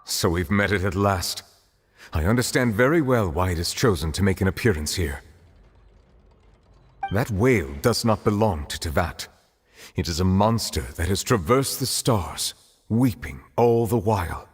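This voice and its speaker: An actor playing a grave, dignified judge in a video game, speaking formally and with measured calm. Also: male voice, deep voice, husky. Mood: solemn. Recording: long hall reverb, faint noise.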